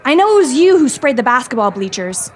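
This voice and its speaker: Woman playing a high-voiced, feisty teenage girl. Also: big pitch swings, a little fast. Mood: scornful. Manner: sharp-tongued, dismissive. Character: feisty, indignant teenage girl student journalist. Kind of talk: scripted audio drama acting.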